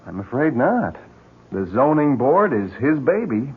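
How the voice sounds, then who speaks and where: An actor playing a resigned, cynical man, wry and measured, in 1970s radio drama style. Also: mid-range voice, very animated, breathy edge, full character voice. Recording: dull 1970s radio recording.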